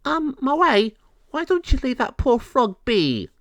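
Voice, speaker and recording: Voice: sits high, very animated, takes it slowly, no room sound, pitched above the performer's own voice, heavily acted. A performer voicing a sensible young female cat in a storybook, reproving and exasperated in manner.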